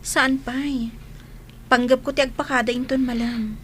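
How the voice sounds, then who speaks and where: An actress playing a meek, grateful young waitress in a radio drama, speaking politely and hesitantly. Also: female voice, sits high, steady tone, a little slow, fairly bright tone, a little hiss or hum.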